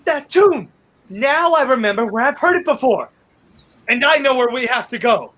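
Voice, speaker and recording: A young man playing a determined, quick-thinking hero on the run in a 1930s-style radio play. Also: very high voice, expressive, a bit bright, exaggerated voice. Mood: excited, urgent. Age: young adult.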